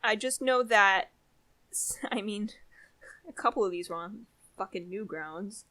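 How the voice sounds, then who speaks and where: A woman's voice: medium pitch, a little slow, bright, forceful tone. A young woman co-hosting a podcast in casual, candid chat, informal and a little self-deprecating. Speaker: podcast co-host.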